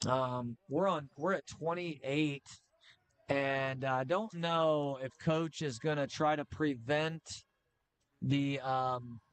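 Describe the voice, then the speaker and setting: A middle-aged man doing casual play-by-play for a high school basketball game, chatty and joking, with filler pauses. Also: clean tone. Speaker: play-by-play commentator.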